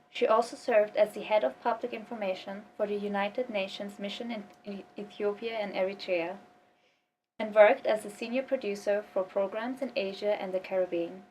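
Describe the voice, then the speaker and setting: A woman's voice: mid-range voice, darkish tone, distant mic, slight background noise, poor audio. A young woman interviewer reading a careful, formal introduction and asking questions in a small office.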